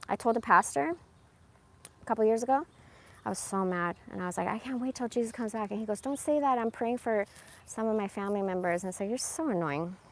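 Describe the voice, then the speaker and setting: A woman's voice: medium pitch, fast. Candid, slangy woman in her forties. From born in Iran, moved to America as a young child. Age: 40s. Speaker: live streamer. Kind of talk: casual, rambling personal monologue.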